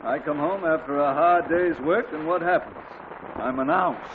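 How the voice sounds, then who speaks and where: A man's voice: fairly high pitch, normal speed, lightly acted. An actor playing an exasperated, blustering middle-aged father in a 1940s radio comedy, heard through a tinny, hissy old radio recording. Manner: blustery, indignant.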